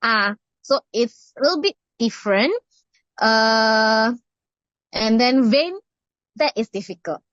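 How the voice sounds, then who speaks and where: A woman's voice: on the high side, expressive, slow pace, pauses often, a bit bright, dry recording. Hesitant Indonesian-accented woman. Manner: hesitant, many fillers and restarts. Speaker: interviewee. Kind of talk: casual interview answers. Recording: muffled dry recording.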